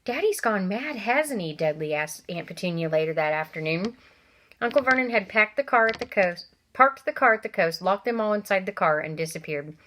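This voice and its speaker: A woman, a teacher, reading a novel aloud to children, expressive and stopping for asides to her listeners. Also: on the low side, a little fast.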